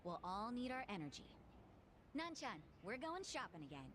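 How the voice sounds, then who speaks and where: A woman's voice: fairly high pitch, sing-song, moderate pace, noisy background. An actress playing an earnest, caring and plucky woman in a video game. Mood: playful. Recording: game music behind.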